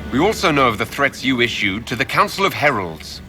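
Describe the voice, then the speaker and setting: An actor playing a stern, commanding Inquisition leader in a fantasy game, with a formal, firm manner. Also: male voice, medium pitch, sing-song, a little fast, pushed and bright, soft background music. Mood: stern, pointed. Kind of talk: dramatic fantasy game acting.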